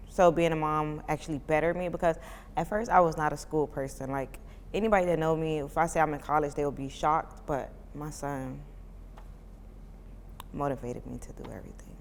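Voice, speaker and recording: A young mother in her twenties from Miami answers interview questions in a loose, chatty way, dropping "like" into almost every sentence. Her voice sits low for a woman. A long reverb trails each phrase, with a faint hiss of noise underneath. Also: fairly quick. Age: 20s. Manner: chatty, many "like" fillers, relaxed informal speech. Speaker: parent giving a testimonial.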